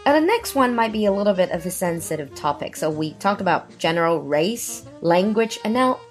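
A woman host with a Chinese accent, a native Chinese speaker, asking curious, measured questions. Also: mid-range voice, animated, soft background music. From China. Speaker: podcast host. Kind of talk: interviewing in English.

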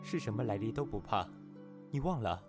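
A man playing a calm, proud young zither player of a pleasure house in a Chinese costume audio drama, soft-spoken and reserved. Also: on the low side, fairly quick, natural voice. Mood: nonchalant. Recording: loud music over the voice.

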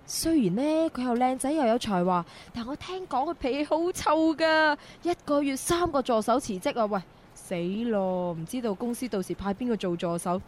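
An actress playing a chatty, fretful young assistant in a radio drama, exclaiming and grumbling. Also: female voice, a little high, moderate pace, hardly any pauses, a little hiss or hum, lightly acted. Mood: worried. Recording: music behind. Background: music.

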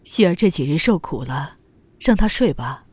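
A caring middle-aged matriarch in a period Chinese audio drama, acted by a woman. Her voice is deep for a woman and very lively in pitch, motherly and solicitous. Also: normal speed, a little hiss or hum.

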